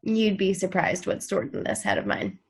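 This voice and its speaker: Breezy, teasing actress as a young woman, lively pitch. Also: moderate pace, slightly rough, heavily acted. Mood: playful. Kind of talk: table read of television drama. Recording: video call table read.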